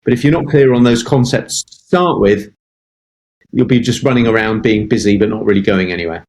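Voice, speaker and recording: A man's voice: fairly low pitch, fairly quick, a little mellow. A middle-aged man, a sales expert, answering interview questions with emphatic advice and repeated words for stress.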